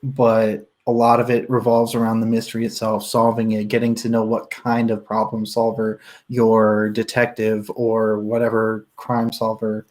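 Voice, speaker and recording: A man's voice: fairly low pitch, completely flat delivery, normal speed, few pauses, a little mellow. A man hosting a casual livestream book discussion, explaining his points as he thinks them through aloud. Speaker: book club host leading a discussion.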